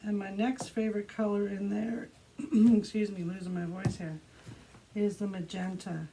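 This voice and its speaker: Mellow woman narrating a craft demo, noisy background. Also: mid-range voice, flat delivery. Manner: unhurried step-by-step narration of own actions. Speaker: art tutorial host. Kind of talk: casual craft demonstration, talking while working. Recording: clearly audible background noise.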